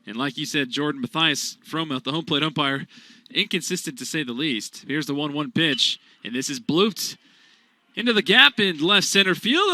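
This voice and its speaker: A young man delivering radio baseball play-by-play with a high, very bright, ringing voice. His calls are punchy and animated, in classic sportscaster fashion. He keeps the action moving for listeners who cannot see the field. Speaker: play-by-play announcer.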